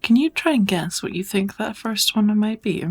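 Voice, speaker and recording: A woman playing a kind, patient witch teacher in an ASMR roleplay, speaking gently in measured, encouraging phrases that often slip into whispers. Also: medium pitch, lively intonation. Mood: gentle, instructive. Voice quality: soft, breathy. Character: kind, patient witch mentoring a dear apprentice. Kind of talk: ASMR roleplay, second-person scripted teaching.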